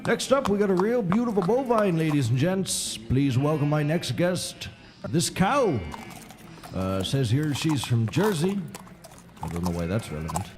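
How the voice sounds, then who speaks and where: A man's voice: a little high, sing-song, a little slow, over music. An actor playing a folksy, rambling, sardonic livestock auctioneer in a scripted audio comedy, wordy and droll in his delivery. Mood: wry, droll.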